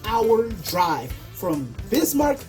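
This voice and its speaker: A young adult woman telling a personal story casually and comedically, exaggerating and acting out her reactions in an animated way. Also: a little high, drawn-out words, faint music behind. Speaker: storytelling video creator.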